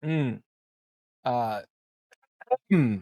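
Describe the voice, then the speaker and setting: Mid-pitched man chatting casually. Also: extremely slow, mellow, no room sound. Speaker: player in a tabletop game. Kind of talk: casual chat during a game.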